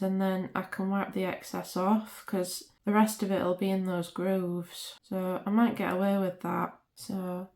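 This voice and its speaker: A woman with a slightly croaky voice, chatting casually and muttering to herself as she works at her craft desk. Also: mid-range voice, darkish tone. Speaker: resin craft channel host. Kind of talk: casual crafting vlog, thinking aloud. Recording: roomy echo.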